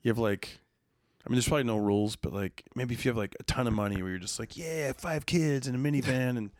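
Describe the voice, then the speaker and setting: A man's voice: mid-range voice, quick pace, darkish tone, no room sound. An easygoing man with a mid-range voice, joking and laughing his way through a podcast chat. He leans on lots of "like"s and fillers. The sound is dry and close. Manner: easygoing, laughs often, jokes, many fillers. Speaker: podcast co-host. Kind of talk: casual chat.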